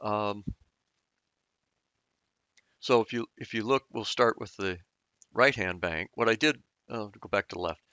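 A man's voice: fairly low pitch, measured pace, pauses often, bright, forceful tone, dry recording, lo-fi sound. A man explaining engine diagrams in an unscripted voice-over, thoughtful and hesitant with many fillers and restarts. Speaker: channel host explaining engine repair.

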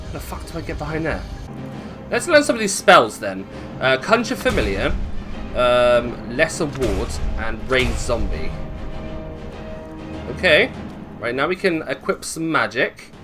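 A man's voice: mid-range voice, very animated, unhurried, pauses often, music in the background. A British man giving casual gameplay commentary, thinking aloud, swearing and questioning himself as he goes. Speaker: gaming video host.

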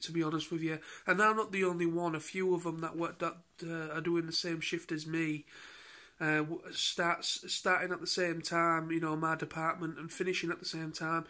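A tired, congested British man in his thirties chats into a phone held at arm's length in his living room. His voice sits a little high for a man, and he rambles with halting restarts and fillers. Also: pushed and bright. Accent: British English. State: tired and stuffed up from a cold, sniffling. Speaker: vlogger. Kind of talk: casual daily vlog chat. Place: living room at home, indoors. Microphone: phone camera held at arm's length.

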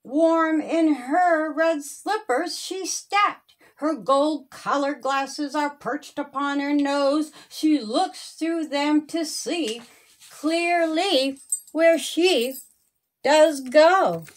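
A woman with a high voice reads a rhyming children's story. She takes it very slowly, with a sing-song lilt and drawn-out phrase endings. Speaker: children's author reading her own story.

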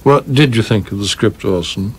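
A man's voice: fairly low pitch, normal speed, breathy edge. A man playing a stern, skeptical film producer in a radio play, with a prim, questioning manner. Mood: businesslike. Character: stern, skeptical film producer keeping his colleagues in line.

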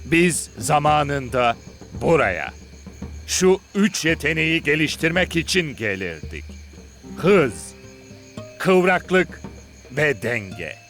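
A gruff, middle-aged ex-football star in a cartoon. He speaks slowly and sternly, with big swings in pitch and emphatic weight, over a music bed. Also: very animated, fairly bright tone, exaggerated voice. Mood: instructing. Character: gruff reclusive retired football star and father, turned demanding trainer. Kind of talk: animated cartoon dub.

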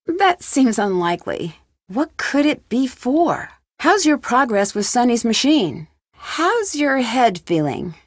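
A woman's voice: on the high side, dry recording, studio quality, exaggerated voice. A woman playing an inquisitive, lightly wry young female detective in video game dialogue. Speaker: video game lead character. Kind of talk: video game dialogue, separate questions and replies.

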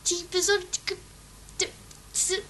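A woman's voice: very high voice, level tone, slow pace, background noise. A woman voicing a bossy, impatient, flustered female schemer who stammers hectically in an improvised amateur comedy radio play.

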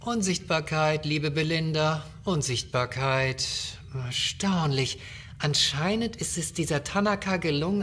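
A man's voice: a little high, a bit bright, a little hiss or hum. A man playing a cautious, lecturing ship's scientist in a sci-fi audio drama, speaking precisely and formally. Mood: explaining. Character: cautious, pedantic male ship's scientist, urging retreat.

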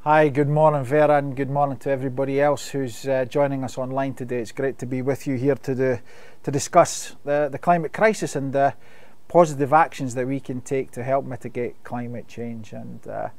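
A middle-aged Scottish man answering webinar questions on a video call, measured and full of fillers and restarts. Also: mid-range voice, level tone, darkish tone, dry recording. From Scotland. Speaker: company sustainability expert.

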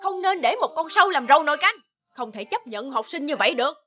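Cartoon role: spiteful accusing mother. High, quick, scornful, on slightly dull audio. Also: expressive. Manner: scornful, sharp. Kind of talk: cartoon dubbing.

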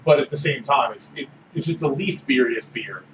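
A man chats about a beer on a podcast, reflective and animated. He is picked up in a roomy space from some distance away. A clear, steady hiss sits under a dull recording. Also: mid-range voice, normal speed. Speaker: podcast co-host. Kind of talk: casual beer-tasting chat. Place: small room with orange walls. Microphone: desk mic on boom arm.

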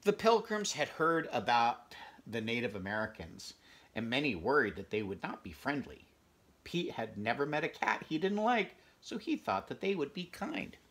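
Man, fifties, husky gravelly voice, lively intonation, children's read-aloud, chatty asides. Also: mid-range voice, moderate pace. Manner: expressive, conversational, chatty asides to young listeners. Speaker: teacher reading a picture book aloud. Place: small furnished bedroom, indoors.

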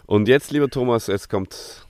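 A man hosting a casual podcast chat, explaining things in a halting way with repeated words. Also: mid-range voice, darkish tone. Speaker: podcast host.

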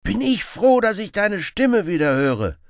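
A man's voice: high-pitched, sing-song, unhurried, no echo, clean studio sound, voice pushed up, full character voice. A man voicing a friendly, fearless young outlaw hero in an audiobook, playful and teasing. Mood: mock innocence. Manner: playful, mock-innocent, teasing.

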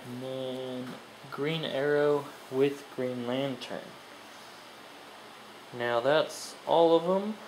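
Collector doing a casual haul video, slow clipped announcements, bit of noise in the background. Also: mid-range voice, darkish tone, poor audio. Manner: short clipped announcements with long pauses. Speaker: collector showing purchases. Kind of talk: casual comic haul show-and-tell, listing titles. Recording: background noise, amateur camera microphone.

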